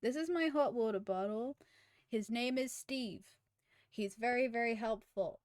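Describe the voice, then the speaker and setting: A woman's voice: a little high, a little slow, dry recording, studio quality. A woman, chatting candidly to camera as a streamer, often trailing off and restarting. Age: 20s. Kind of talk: casual chat to camera.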